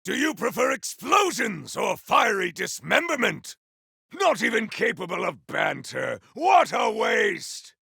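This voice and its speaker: A man voicing a righteous, grandiose game warrior on a holy quest, with a gravelly, forceful voice and archaic, theatrical declamation. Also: high-pitched, big pitch swings, measured pace, no echo, exaggerated voice. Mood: battle fury. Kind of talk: video game combat voice lines.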